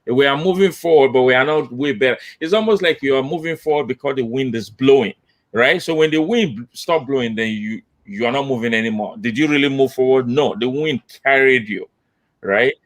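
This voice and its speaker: A man's voice: medium pitch, brisk. A middle-aged man, a diversity consultant, talking emphatically on a video call from a home office, repeating points for emphasis. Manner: animated, gestures with hands, emphatic repetition. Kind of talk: panel discussion. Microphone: laptop webcam on video call.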